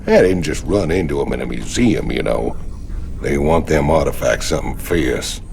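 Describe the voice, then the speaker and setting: An actor playing a hulking, gruff convict in a sci-fi game, drawling and sardonic with a Southern US accent and gravelly voice. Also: male voice, rumbling low, expressive, normal speed, a little mellow, a little hiss or hum, full character voice. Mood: sly, casual. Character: hulking gruff male space convict in armored suit. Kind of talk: video game cinematic acting.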